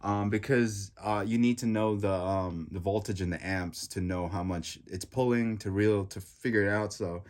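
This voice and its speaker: Man, stuffy nose, casual tech reaction, rambling with fillers, lowish voice. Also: fairly even intonation, moderate pace, hardly any pauses, a little mellow. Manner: rambling, with many fillers and restarts. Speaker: tech reviewer.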